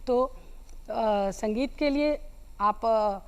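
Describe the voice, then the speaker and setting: A woman giving unscripted interview answers as a guest, in a hesitant, halting manner. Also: on the high side, clear, resonant tone, dull audio. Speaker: guest folk singer.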